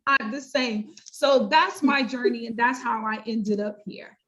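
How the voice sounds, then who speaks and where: A woman's voice: fairly high pitch, a little slow, a bit bright, highs cut off. A middle-aged woman with an American accent, talking about her own work in an emphatic, chatty interview style. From United States. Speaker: guest: pastor, counselor and coach.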